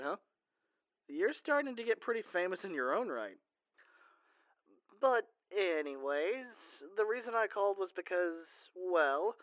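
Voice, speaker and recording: A man's voice: on the high side, no room sound, dull audio, close to an everyday voice. A man playing a caring, modest, socially awkward superhero in a conversational audio roleplay, speaking casually and hesitantly.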